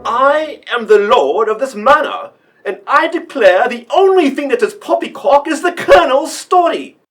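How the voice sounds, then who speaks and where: Man as high-voiced, imperious lord of the manor. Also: animated, normal speed, fairly bright tone, soft background music. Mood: stern. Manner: pompous, formal. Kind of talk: radio play acting.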